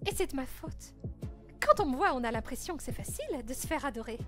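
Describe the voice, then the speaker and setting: A woman's voice: high voice, big pitch swings, fast, fairly bright tone, faint music behind. A woman voicing a spoiled, jealous teenage princess with a snooty, dramatic manner in a fan-dub narration. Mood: gossipy, catty.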